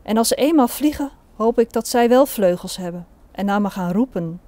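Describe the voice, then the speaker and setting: Female poetry reader, medium pitch, relaxed timbre, deliberate and expressive delivery. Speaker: poet. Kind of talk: poetry recital.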